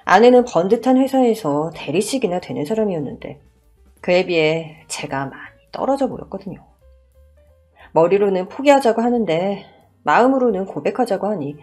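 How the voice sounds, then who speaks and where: A woman's voice: mid-range voice, animated, normal speed, a little mellow, slightly rough, soft background music. A woman narrating a dramatized first-person story for a radio-style story channel.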